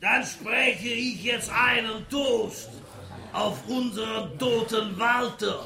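Shrill, bellowing German drunken loudmouth. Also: male voice, gravelly, echoey room, heavily acted. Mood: boisterous toast. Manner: bellowing, drawn-out, blustering. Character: coarse, boisterous, beer-swilling male loudmouth, easily annoyed. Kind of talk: comic radio play acting.

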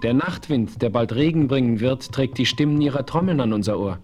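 A man's voice: medium pitch, lively intonation, a little fast, a little mellow, natural voice. A man playing a noble, wise chief who speaks in an earnest, formal way. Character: noble, wise male chief, calm and watchful. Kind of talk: 1970s German radio play acting.

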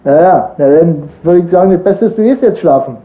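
A man's voice: on the high side, lively intonation, brisk, narrow, tinny audio. A man hosting improvised casual radio chat, rambling and teasing in a mock-earnest way. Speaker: radio talk host.

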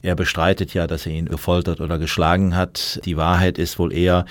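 A very deep male voice, recorded dry and close. He speaks as an expert interviewee, analytical and considered, with some hesitation. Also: level tone, brisk, no room sound.

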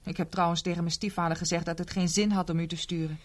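An actress playing a defiant young stepdaughter in a 1970s radio drama, curt and dismissive. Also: female voice, on the low side, level tone, quick pace, natural voice. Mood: cold. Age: young adult.

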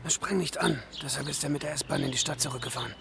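An actor playing a courteous but sinister killer with a mad streak in a radio drama. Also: male voice, fairly high pitch, quick pace, vocal fry, noisy background. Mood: polite, guarded.

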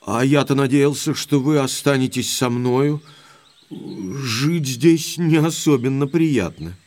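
An actor playing an earnest, uneasy baronet in an audio drama, speaking expressively and with some deference. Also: male voice, medium pitch, slow, a little mellow, lightly acted. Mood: dismayed.

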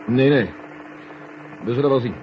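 An actor playing an authoritative, deliberate space agency general in a 1970 Dutch radio drama. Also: male voice, mid-range voice, lightly acted. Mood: decisive. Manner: deliberate, commanding. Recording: dull, hissy 1970 radio recording.

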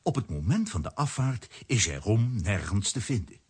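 A man narrating a children's adventure audio drama in a measured storytelling manner, with a husky voice. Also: lowish voice, very animated, a little mellow, exaggerated voice.

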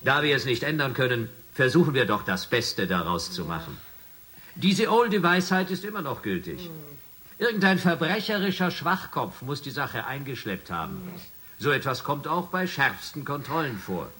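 A man playing a brisk, encouraging space shuttle commander in a radio play, making formal, emphatic announcements. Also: mid-range voice, sing-song, sharp tone, slight background noise. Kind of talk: German science fiction radio play acting.

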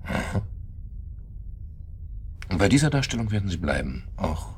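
A man acting in a radio drama as a dogged, bitter accuser, probing and sardonic, with halting repetitions. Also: low-pitched, sing-song, slight background noise. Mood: insistent. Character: dogged, bitter male accuser reconstructing a crime.

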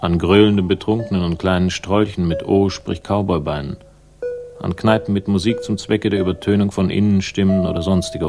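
An actor playing a world-weary private investigator, dry, sardonic and slightly gravelly, in a 1960s German radio crime drama. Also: male voice, sits low. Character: world-weary, wry, tall male private investigator narrating.

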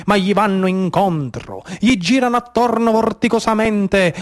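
A man's voice: sits high, pitched above the performer's own voice. Male actor as Sicilian tonnara diver, raised voice, incantatory folk declamation to his crew, radio theatre. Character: Nanai, tuna-fisherman diver declaiming underwater marvels to crew.

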